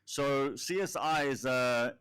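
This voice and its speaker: A man commenting from the floor at a conference in a deliberate, explanatory way. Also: medium pitch, fairly bright tone, clean tone. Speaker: summit delegate asking a question from the floor.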